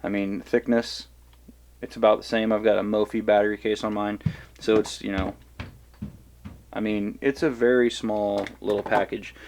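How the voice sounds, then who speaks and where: A man's voice: on the low side, level tone, moderate pace, a little mellow, slight background noise. An American man from the Kansas City area giving a casual, rambling gear review and field test, full of fillers and restarts. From Kansas City area, USA. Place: outdoors in wooded hilly area.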